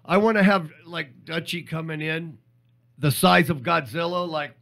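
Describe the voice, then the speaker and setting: A man co-hosting a radio show in casual comedic banter, excitable and joking, jumping between half-finished thoughts. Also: a little high, moderate pace, vocal fry.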